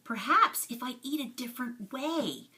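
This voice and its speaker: A woman's voice: high-pitched, animated, moderate pace, pushed and bright, pitched above the performer's own voice, heavily acted. A woman voicing a worried, plaintive schoolboy in a storybook reading, recorded a little away from the microphone. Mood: muttering, doubtful. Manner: anxious, plaintive. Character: worried schoolboy, muttering and fretting about his food.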